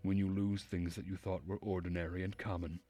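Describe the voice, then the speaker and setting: A man with a low-pitched, rough-edged voice reads a visual novel aloud. He narrates like a storyteller on a game stream, with faint music behind him. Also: level tone, a little fast, warm tone.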